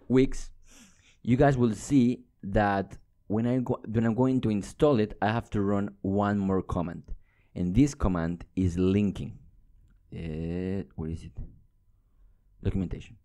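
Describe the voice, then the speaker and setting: Low-voiced man teaching in a casual screencast. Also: mellow. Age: young adult. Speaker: coding instructor. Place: indoors at a computer.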